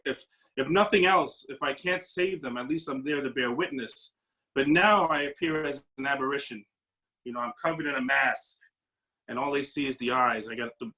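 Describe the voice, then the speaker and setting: A man who works as a paramedic lieutenant speaks as a guest on a video call panel. He talks earnestly and reflectively, with some halting restarts. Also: medium pitch, pushed and bright, rough recording.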